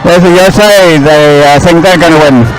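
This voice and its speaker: A man's voice: on the high side, moderate pace, poor audio. A man giving live race commentary in a conversational, searching way. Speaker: sports commentator. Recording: music playing behind outdoor live broadcast. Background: music.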